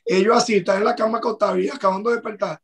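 A man with a Dominican Spanish accent and a high voice talks in a relaxed, storytelling way. He is one of the regulars on a casual panel chat. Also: very fast, vocal fry. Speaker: podcast panelist.

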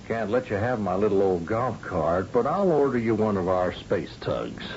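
An actor playing a folksy, jovially patronizing and evasive governor in an old-time radio drama. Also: male voice, on the low side, big pitch swings, moderate pace, a little mellow. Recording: dull, noisy old radio recording.

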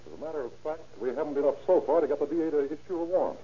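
An actor playing a businesslike police detective in a 1930s radio drama, clipped and authoritative. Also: male voice, a little high, racing, dark tone, breathy edge. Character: brisk, businesslike male police lieutenant detective. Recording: muffled, hissy old radio recording.

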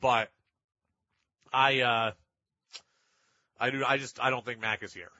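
A man's voice: medium pitch, fairly even intonation, slow pace, bright, forceful tone, dry recording, lo-fi sound. A man hosting sports talk radio, giving opinionated commentary in an emphatic way and repeating points for effect.

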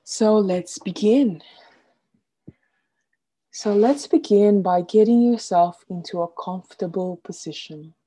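Female meditation guide, extremely soft dark tone, unhurried delivery, soothing and gentle, phrases trailing off softly. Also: mid-range voice, breathy edge. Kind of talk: guided relaxation meditation, scripted instruction.

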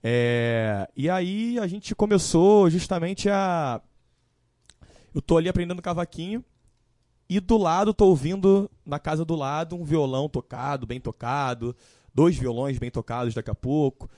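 A man in his early twenties with a Carioca Portuguese accent, telling his story as a guest in a casual live-stream chat, relaxed and full of fillers. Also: on the high side, unhurried, dry recording, studio quality. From Rio de Janeiro, Brazil. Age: 20s. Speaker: guest musician.

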